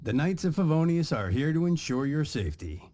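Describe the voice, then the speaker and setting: A formal, by-the-book guard. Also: male voice, a little high, sing-song, brisk, a little hiss or hum. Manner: formal, by-the-book, hesitant when unsure. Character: cautious, rule-bound male gate guard. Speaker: city guard. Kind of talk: video game voice acting. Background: soft game music.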